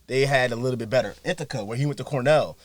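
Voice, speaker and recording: Halting New man, dry close sound. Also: medium pitch, no echo. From Harlem, New York. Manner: halting, restarts often, thinks aloud. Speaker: podcast guest. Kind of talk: casual podcast chat.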